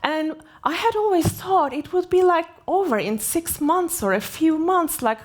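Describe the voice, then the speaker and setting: Woman, 40s, from Turkey, keynote speaker, slow, halting with fillers. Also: extremely high pitch, lively intonation. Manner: halting, many fillers and restarts. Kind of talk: personal story keynote. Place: conference stage.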